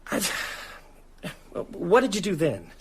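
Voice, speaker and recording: A man's voice: high-pitched, huge pitch swings, a little slow, heavily acted. An actor playing a sceptical, concerned friend in an audio drama, stammering with disbelief as he tries to reason. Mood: rattled, reasoning. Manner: hesitant, stammering, incredulous.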